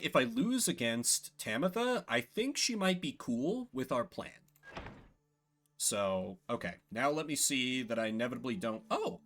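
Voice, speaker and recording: Male game streamer, casual commentary, thinking aloud, informal, medium pitch, very varied intonation. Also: a bit bright. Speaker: streamer playing and voicing a game.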